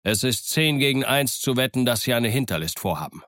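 A man's voice: mid-range voice, lively intonation, fairly quick, a bit bright, dry recording, studio quality, voice pushed up, natural voice. A man voicing a stern, brusque ship's captain with a curt, commanding manner in a storybook reading.

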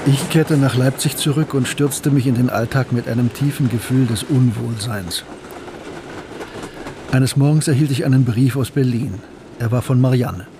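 A man acting as a reflective student who recalls his life in a measured, literary radio drama narration. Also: mid-range voice, background noise, close to an everyday voice. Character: Gustav, reflective male mathematics student recalling his past. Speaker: narrator and protagonist. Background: music.

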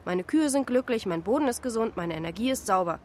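A woman's voice: a little high, expressive, fast, darkish tone, slight background noise, close to an everyday voice. A woman in a radio drama playing a feisty, self-righteous farmer with an impatient, sarcastic manner. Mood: irritated. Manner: sarcastic, combative. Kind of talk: naturalistic German radio drama acting.

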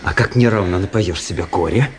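An actor playing a self-assured, ironic young officer who tells his story in measured, literary fashion in a radio drama. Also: low-pitched, very noisy, natural voice. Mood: curious, probing.

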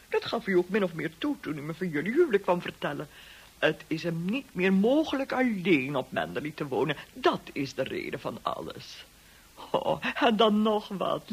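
A woman's voice: on the low side, slightly rough, full character voice. An actress playing a condescending older society lady in a Dutch radio drama, theatrical and patronising, with false sweetness.

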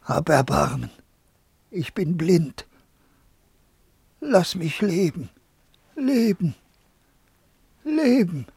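Frail, frightened blind old man, radio drama, very slow, croaking and pleading. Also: high-pitched, big pitch swings, darkish tone, no room sound, heavily acted. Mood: desperate pleading. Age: older. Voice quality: husky, gravelly, breathy whispering. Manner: halting, drawn-out, pleading. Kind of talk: poetic radio drama acting.

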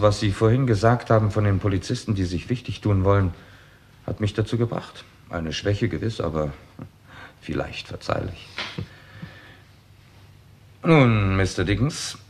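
Give the impression of a composed male detective in a sixties radio crime drama. His voice is fairly low, his formal and exact. He lays out a case methodically, pressing with rhetorical questions. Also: a little hiss or hum. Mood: composed, wry. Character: confident male police detective laying out a case, probing and composed. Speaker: actor in a crime radio play. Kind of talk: 1960s German radio drama acting.

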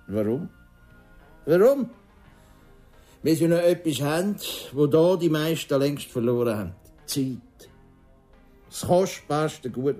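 A man's voice: medium pitch, mellow, close to an everyday voice. An actor as a Swiss German storytelling man. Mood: philosophical, insistent. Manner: deliberate, dramatic storytelling with rhetorical questions. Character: local Swiss man, gorge guide, philosophising storyteller. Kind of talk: radio drama, telling a folk legend.

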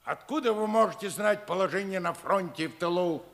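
German army colonel, imperious, impatient, somewhat high pitch, theatrical, formal, vintage Soviet radio play. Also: male voice, a little fast, a bit bright. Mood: stern, threatening.

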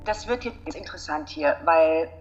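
An actress playing a brisk, ambitious and ruthless corporate deputy in a radio drama. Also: female voice, low-pitched, expressive, a little mellow, dull audio, faint music behind. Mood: businesslike. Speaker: executive assistant.

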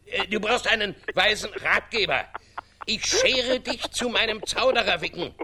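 A man's voice: high voice, normal speed, bright, forceful tone. An actor playing a flustered, blustering king and father in a comic radio play, garbling his words and correcting himself.

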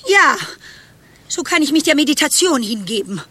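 Bright, tense, solemn young woman. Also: sits high, sing-song, moderate pace, heavily acted. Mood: dry, aloof. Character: earnest, tormented, humourless young woman in crisis. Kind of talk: German radio play acting.

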